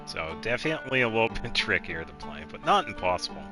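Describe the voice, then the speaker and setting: A man's voice: lowish voice, big pitch swings, quick pace, music in the background. A man streaming a video game, chatting in a casual, easygoing and rambling way. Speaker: game livestreamer. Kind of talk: casual chat while playing a game.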